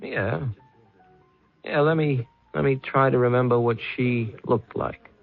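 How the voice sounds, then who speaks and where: A man's voice: medium pitch, a little slow, vocal fry. An actor playing a wisecracking nightclub owner in an old radio mystery, with slangy, hesitating tough-guy talk. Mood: sly, recollecting. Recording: dull vintage radio, faint music.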